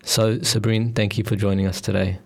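A man hosting a podcast, reading measured, formal introductions and questions. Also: lowish voice, flat delivery, gravelly. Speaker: podcast host. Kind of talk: scripted interview questions.

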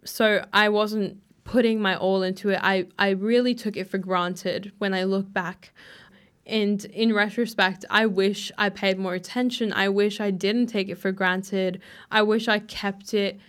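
A woman's voice: medium pitch, fairly even intonation, a little slow, a bit bright, clean tone. A young woman answering interview questions in an earnest, reflective way, with frequent "you know" fillers. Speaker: guest.

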